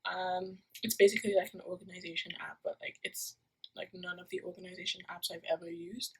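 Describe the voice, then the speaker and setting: Young woman, from Nigeria, student vlogger, slightly low, breathy, rambling with fillers, phone taped to the wall. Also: level tone, fairly quick, poor audio. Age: young adult. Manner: rambling, many fillers and self-corrections. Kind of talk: casual vlog chat. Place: her room, indoors.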